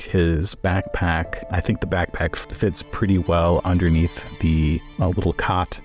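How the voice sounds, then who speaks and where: Deep-voiced man playing a tabletop game. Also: darkish tone. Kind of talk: casual game chat and narration.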